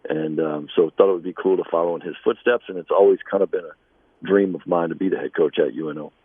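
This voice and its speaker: A man speaking as an interviewed basketball coach, conversational and measured, with halting pauses. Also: sits low, slightly rough, no echo, phone-quality sound.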